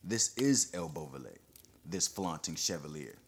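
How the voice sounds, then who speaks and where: A man's voice: extremely low pitch, animated, normal speed. A man reading an audiobook, voicing a fierce, jealous young nobleman.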